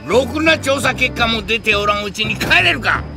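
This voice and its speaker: An actor playing an excitable, stubborn elderly professor with pompous old-fashioned speech in an anime audio drama. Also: male voice, very high-pitched, moderate pace, vocal fry, over music, exaggerated voice. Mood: indignant.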